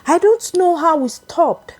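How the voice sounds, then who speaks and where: A woman's voice: extremely high pitch, animated, a little slow, darkish tone, pitched above the performer's own voice. A woman voicing a frightened male bus driver in a folktale, with a shaken, panicky manner. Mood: shaken awe.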